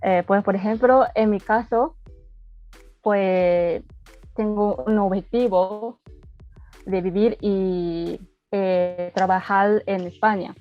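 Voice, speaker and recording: A woman who is not a native speaker answers interview questions slowly and carefully. She pauses often and fills gaps as she searches for words. The sound is slightly dull and dry, with faint music behind. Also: mid-range voice, fairly even intonation. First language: not Spanish. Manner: careful, hesitant with many fillers. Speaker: guest, language learner.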